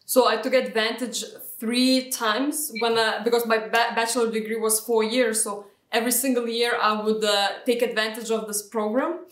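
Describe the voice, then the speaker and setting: Woman, Lithuanian accent, fairly high voice, echoey room, distant video-call audio, chatty with fillers. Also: steady tone, moderate pace, hardly any pauses. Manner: chatty, frequent fillers and restarts. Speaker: guest, real estate agent. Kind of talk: casual interview answers.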